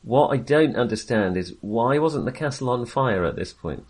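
A man's voice: medium pitch, animated, moderate pace, darkish tone, breathy edge. A man co-hosting a film podcast in casual conversation, with a hesitant, rambling and wry way of talking.

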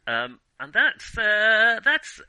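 A man's voice: sits high, wildly swooping pitch, extremely slow, bright, forceful tone, smooth, no echo. A man hosting a podcast, chatting casually about films in a wry, self-deprecating, jokey way. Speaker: podcast host. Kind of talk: casual film discussion with playful scripted intro.

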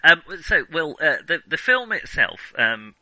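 A man's voice: a little high, very animated, a little slow, sharp tone, no room sound, rough recording. A man hosting a casual film discussion, talking in a hesitant, rambling way and often interrupting himself. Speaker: film podcast host.

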